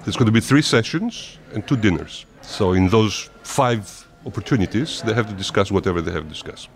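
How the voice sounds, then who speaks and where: A man answering interview questions at length in a measured, formal way, often restarting his phrases. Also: on the low side, lively intonation. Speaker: interviewed diplomat.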